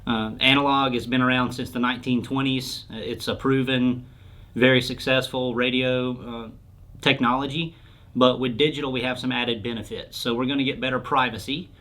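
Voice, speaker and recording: Guy answering customer questions in a casual explainer, medium pitch, plain spoken. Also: little pitch movement, moderate pace, a bit bright. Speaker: radio sales expert answering questions. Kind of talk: informal explainer interview.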